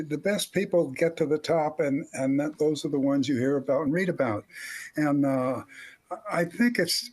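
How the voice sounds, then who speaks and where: A man speaking off the cuff on a panel in a halting way, often repeating his words. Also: fairly high pitch. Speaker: audio drama creator on a panel. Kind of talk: unscripted panel talk.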